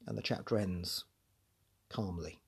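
A man's voice: sits low, a little slow, creaky, breathy edge. An English man hosting a podcast, talking through a book chapter in a chatty, musing way with many restarts. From England. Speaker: podcast host.

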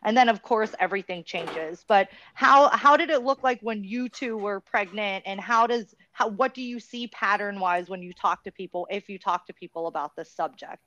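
A woman's voice: mid-range voice, normal speed, few pauses, a bit bright. A woman hosting a casual podcast interview, telling her own story in a chatty way with plenty of "like.". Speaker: podcast host interviewing guests. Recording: dull dry lo-fi sound.

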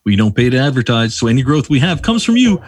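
A man's voice: mid-range voice, sing-song, fast talker. A man in his late thirties co-hosting a podcast, talking casually with enthusiasm and playful teasing. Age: 30s. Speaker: podcast co-host. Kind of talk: casual chat.

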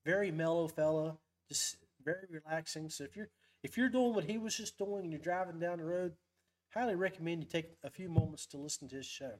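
A man hosting a casual live-stream podcast in a folksy, chummy way. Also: on the high side. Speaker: podcast host.

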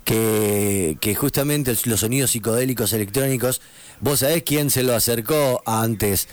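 A man's voice: mid-range voice, normal speed, dry recording. A man from Argentina, hosting a radio interview, chatty and prone to digressions. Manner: chatty, digressive, many backchannels. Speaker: radio host.

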